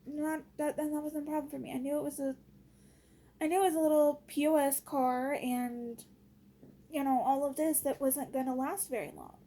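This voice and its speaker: A woman with a notably high voice and a soft, mellow tone talks as if venting to her followers. She is exasperated and blunt, and keeps it casual and full of slang. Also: fairly even intonation, normal speed, a little hiss or hum. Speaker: vlogger.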